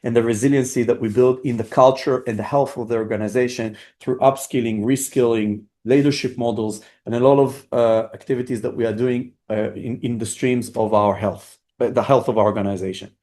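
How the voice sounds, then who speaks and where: A man presents company results in the register of an executive on an earnings call. His voice sits at a middling pitch, and he moves through semi-scripted points haltingly, often repeating or rewording a phrase. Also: fairly even intonation, mellow.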